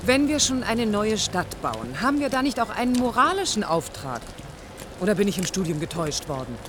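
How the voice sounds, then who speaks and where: A woman's voice: mid-range voice, very animated, brisk, noisy background, lightly acted. An actress playing a defiant, idealistic young woman architect in a radio drama, challenging and sarcastic in impassioned dialogue. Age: young adult.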